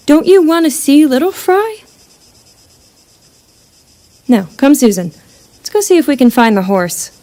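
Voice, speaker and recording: A woman's voice: high voice, dark tone. A woman playing a pragmatic, commanding runaway leader in an apocalyptic western audio drama, firm and persuasive. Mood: urgent, commanding. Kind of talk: live-streamed audio drama acting.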